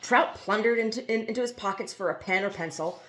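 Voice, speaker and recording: A woman's voice: mid-range voice, fairly quick, slight background noise. A woman reading a novel aloud as scripted narration, now and then stumbling over a word. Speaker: amateur audiobook reader.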